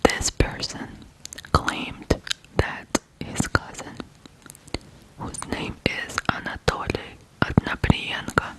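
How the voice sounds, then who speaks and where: An ASMR host from Ukraine, telling stories in a hushed whisper with frequent pauses between short phrases. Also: low-quality recording. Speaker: ASMR video host and storyteller. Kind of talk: whispered ASMR true crime storytelling.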